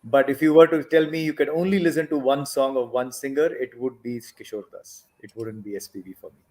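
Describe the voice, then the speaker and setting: A man's voice: mid-range voice, steady tone, a little fast, a little mellow. Reflective man from eastern India. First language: Tamil. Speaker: panel guest. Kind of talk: casual panel discussion.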